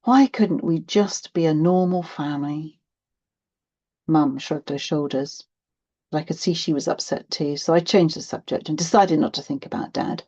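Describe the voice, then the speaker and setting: Mellow British woman narrating a story. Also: low-pitched, moderate pace, highs cut off. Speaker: audiobook narrator. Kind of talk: expressive scripted first-person narration for young readers.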